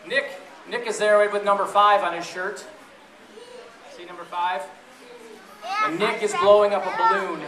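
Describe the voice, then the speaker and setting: A man reading a picture book aloud to a group of children in a playful, chatty way, pausing to ask them questions. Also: sits high, fairly even intonation, crowd noise. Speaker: storybook reader to children. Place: echoey room with an audience of families. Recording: roomy, distant event recording with audience noise.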